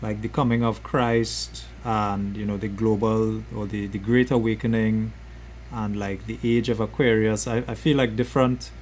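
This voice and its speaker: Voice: mid-range voice, level tone, normal speed, a little mellow, a little hiss or hum. A podcast host asking questions in a supportive, casual way with many fillers. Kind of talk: conversational interview.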